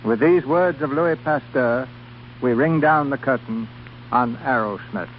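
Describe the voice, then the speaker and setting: A man's voice: mid-range voice, unhurried. A man hosting in a formal, dramatic 1930s radio style, declaiming scripted narration. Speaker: radio show host. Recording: dull, noisy vintage radio broadcast.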